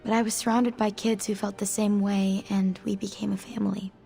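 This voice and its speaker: A woman's voice: medium pitch, little pitch movement, brisk, vocal fry, warm tone, faint music behind, lightly acted. A woman playing a guarded, earnest young mutant outcast in video game dialogue, speaking casually and candidly with some hesitation. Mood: wistful, sincere.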